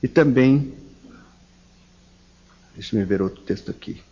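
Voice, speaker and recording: Husky paulista pastor, slow emphatic sermon, dull lofi sound. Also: male voice, medium pitch, mellow. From São Paulo, Brazil. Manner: slow, emphatic preaching with fervent rises on key words. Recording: dull lo-fi recording.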